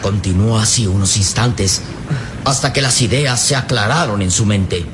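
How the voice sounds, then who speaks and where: A man delivering scripted dramatic narration for a radio serial in a grave, deliberate storytelling manner. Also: on the low side, noisy background.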